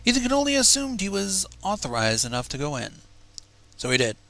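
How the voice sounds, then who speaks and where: A man's voice: high voice, wildly swooping pitch, brisk, gravelly, bright, forceful tone. A man reading a story aloud with casual asides, stumbling over words and going back over them. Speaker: fan fiction reader.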